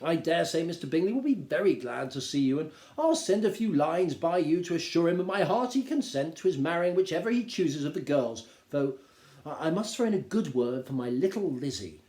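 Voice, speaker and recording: Man playing a dry, mellow sarcastic husband. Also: fairly high pitch, lively intonation, voice pushed up. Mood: sardonic. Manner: wry, measured, mock-polite. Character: dry, teasing middle-aged husband, sarcastic and unruffled. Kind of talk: theatrical reading of a classic novel. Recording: roomy, echoing indoor sound.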